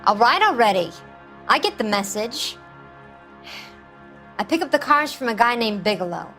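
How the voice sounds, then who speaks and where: Crime game suspect: higher-pitched, brassy moll, slangy, flirty, wheedling and self-pitying. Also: female voice, sing-song, normal speed, bright, forceful tone, soft background music, full character voice. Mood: pleading, resigned. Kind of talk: 1940s crime drama game acting.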